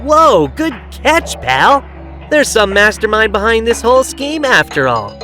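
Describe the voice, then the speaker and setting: Breezy, cocky animal hero, male actor, audio drama. High register, sharp bright tone. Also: sing-song, measured pace, soft background music, exaggerated voice. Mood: impressed. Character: cocky, breezy young blue hedgehog hero. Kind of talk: audio drama character acting.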